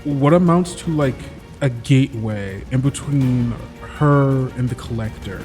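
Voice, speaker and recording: A man playing a tabletop game, describing his moves haltingly as he thinks them through aloud. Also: mid-range voice, darkish tone. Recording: clear music behind the voice. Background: music.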